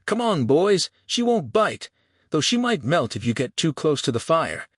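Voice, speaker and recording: A man's voice: fairly high pitch, very animated, normal speed, no room sound, clean studio sound, voice pushed up. A man voicing a cruel, fleshy Old West saloon owner in a storybook, sneering and taunting his victim. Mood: jeering, rowdy. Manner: taunting, shouting, hissing.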